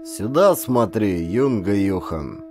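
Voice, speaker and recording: A man voicing a gruff, boastful old pirate in an audiobook, barking out his exclamations over music. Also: on the low side, expressive, slow pace. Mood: gloating. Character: gruff old male pirate sea wolf, boastful and brisk.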